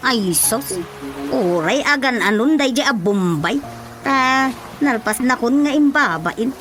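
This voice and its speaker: Sassy, swooping high-voiced Ilocano villager. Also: female voice, lively intonation, slow, music in the background. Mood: exasperated. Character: sassy, defiant village woman in debt. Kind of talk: Ilocano radio drama comedy acting.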